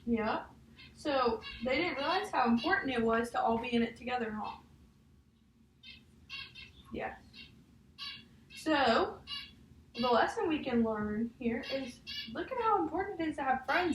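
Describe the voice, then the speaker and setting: A woman's voice: sits high, lively intonation, normal speed, long pauses. A woman teacher talking through a picture book with a child, guiding and often checking for agreement. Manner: guiding, often ends statements with a checking "huh?". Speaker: teacher leading a read-aloud. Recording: roomy, distant mic, faint noise.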